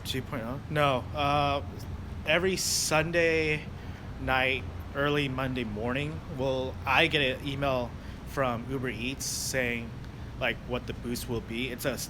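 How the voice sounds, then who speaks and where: A man's voice: medium pitch, steady tone, takes it slowly. Young American man, casual livestream host, rambling and easygoing, street traffic behind him. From United States. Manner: rambling, loose, lots of fillers and restarts. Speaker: delivery driver livestreamer. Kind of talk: casual livestream chat answering viewers. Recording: noisy outdoor street background. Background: traffic and street noise.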